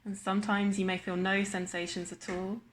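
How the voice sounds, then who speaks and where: A woman guiding a body scan meditation in a slow, measured manner with long pauses. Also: mid-range voice, flat delivery, slight background noise. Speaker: meditation guide.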